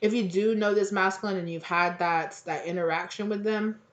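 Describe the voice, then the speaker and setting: A woman giving a casual tarot reading, hoarse and close to losing her voice, rambling in slang and acting out little quoted exchanges. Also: mid-range voice, fairly even intonation, normal speed. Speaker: tarot reader. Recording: echoey, dull lo-fi room recording.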